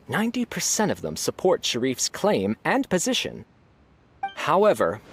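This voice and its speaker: An actor playing a formal, precise scholarly adjudicator in a video game, delivering official judgments. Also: male voice, medium pitch, husky, heavily acted. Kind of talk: scripted video game dialogue.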